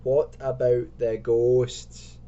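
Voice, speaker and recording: A man's voice: medium pitch, sing-song, a little fast, mellow, breathy edge, highs cut off. A man voicing a brash young adventurer in a spooky story, speaking emphatically. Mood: unsure.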